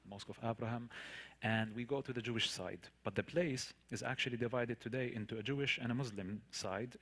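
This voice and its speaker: A man from the Palestinian territories gives a personal talk in accented English, with Arabic as his first language. His pitch sits in the middle for a man. He speaks earnestly, stressing key points through repetition and rhetorical questions. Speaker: guest speaker, tour guide. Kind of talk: personal storytelling in a public talk.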